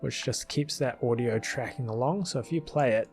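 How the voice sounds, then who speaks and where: A man talks through his editing as a video creator, with a mid-pitched voice. He rambles casually and thinks aloud, informal and a little hesitant, with faint music behind him. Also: moderate pace. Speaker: video creator explaining his fan film edit.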